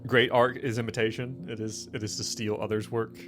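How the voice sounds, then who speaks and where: A man's voice: mid-range voice, level tone, brisk, fairly bright tone. A man hosting a tabletop game session as game master, bantering casually with his players and joking with some swearing. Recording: music playing behind the voice. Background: music.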